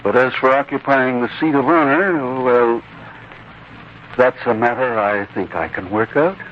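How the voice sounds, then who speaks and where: An actor plays a stodgy but kindly old man of learning. His delivery is avuncular, humble and gently halting, in 1940s radio drama style. The audio is dull and faintly noisy. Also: mid-range voice, natural voice. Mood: thoughtful, reassuring.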